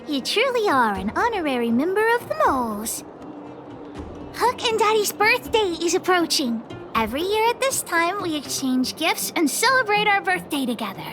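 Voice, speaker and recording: A cheeky little girl who bosses her gang around in a video game. The voice is extremely high and childlike, swinging up and down with great animation. She sounds self-important and excitable, with music playing underneath. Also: moderate pace. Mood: bossy, eager. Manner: self-important, cheeky, refers to herself by name. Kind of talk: video game character voice acting. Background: background music.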